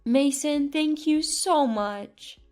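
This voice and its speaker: High-voiced caring daughter, read by a woman narrator. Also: takes it slowly, a little mellow, faint music behind. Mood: grateful, pleading. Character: earnest, caring grown daughter in a family drama.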